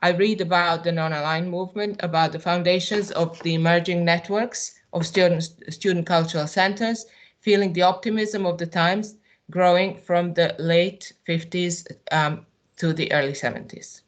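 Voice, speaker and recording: A woman with a Serbian accent reads a personal talk aloud to a symposium. Her voice sits a little low, and she keeps a measured, reflective pace. Now and then she hesitates on an um or a restart. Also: steady tone. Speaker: artist presenting at a symposium. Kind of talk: reading a prepared personal talk.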